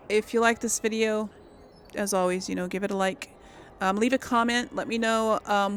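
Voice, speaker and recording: A woman's voice: mid-range voice, gravelly, fairly bright tone, a little hiss or hum. A woman giving casual video game commentary as a host, chatty and hesitant as she thinks aloud.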